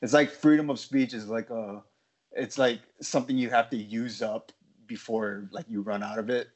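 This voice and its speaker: American dude on a podcast, laughs a lot, kinda husky. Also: male voice, mid-range voice, fairly even intonation, moderate pace, a little mellow. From United States. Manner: laughs often, loose and joking. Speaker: podcast co-host. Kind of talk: casual chat.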